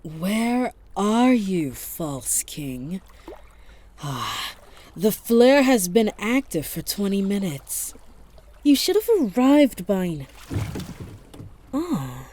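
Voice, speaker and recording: A woman voice acting a haughty, menacing empress who speaks in a measured, taunting way for an ASMR roleplay. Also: medium pitch, a little mellow, slight background noise. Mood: haughty, challenging. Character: menacing, imperious empress commanding a war fleet.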